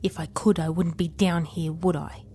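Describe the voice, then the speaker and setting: A woman playing a desperate trapped shop worker in an audio horror drama, high-strung, snippy and pleading. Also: level tone, moderate pace, dark tone, breathy edge. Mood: frightened, hurried.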